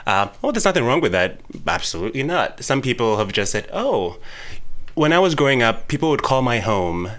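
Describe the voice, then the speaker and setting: A man from Ghana, a radio show guest, talking animatedly with frequent laughs in a soft, high voice. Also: sing-song, brisk. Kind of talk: casual radio discussion.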